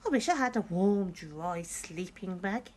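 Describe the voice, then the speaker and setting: A woman voicing a forlorn fox in a children's story, plaintive and drawn-out. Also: lowish voice, expressive, darkish tone. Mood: tearful. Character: tearful, forlorn fox camper.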